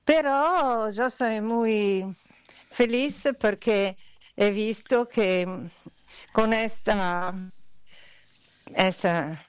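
A woman's voice: a little high, extremely slow, pauses often, smooth, dry recording. An Italian woman, a guest artist, speaking Spanish as a second language in a casual interview, hesitant and searching for words. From Italy.

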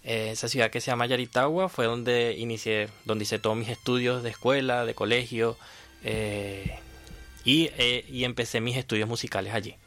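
A man's voice: medium pitch, fairly even intonation, moderate pace, a bit bright. Venezuelan man in his thirties, music behind. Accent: Venezuelan Spanish. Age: 30s. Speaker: interview guest, horn player. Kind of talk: conversational interview answers.

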